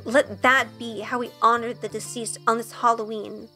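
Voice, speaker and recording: Woman playing an earnest young schoolgirl witch, higher voice. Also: level tone, moderate pace, sharp tone, soft background music. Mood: earnest, pleading. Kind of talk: first-person audio drama narration and dialogue.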